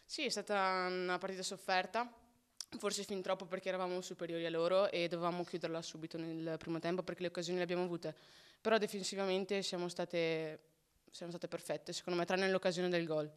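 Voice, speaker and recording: Very clear-voiced young woman player. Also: on the low side, flat delivery, a little fast. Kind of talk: post-match interview. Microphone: handheld mic held by interviewer.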